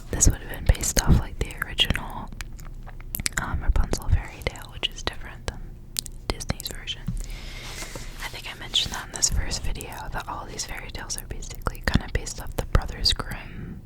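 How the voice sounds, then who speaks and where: Casting: female ASMR reader, soft breathy whisper throughout, casual and unhurried storybook delivery. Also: low-quality recording. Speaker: ASMR creator reading aloud.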